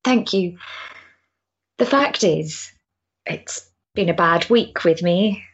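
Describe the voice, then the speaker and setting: A woman's voice: fairly low pitch, expressive, takes it slowly, slightly rough, dull audio, heavily acted. An actress playing an earnest, impulsive, lonely Victorian woman in a period stage drama, with a hesitant, emotional manner. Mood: nervous, yearning.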